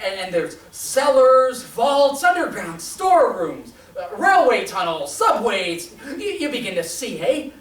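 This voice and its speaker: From far off on an echoing stage comes a woman acting a manic, embittered artilleryman in an old-time radio play. The voice is high and unhurried overall, but stammers excitedly and swells toward shouts as he schemes to rule. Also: slight background noise. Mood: eager scheming. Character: manic, bitter male artilleryman dreaming of ruling the world. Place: stage performance in a roomy theatre. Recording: echoey stage, captured from far off.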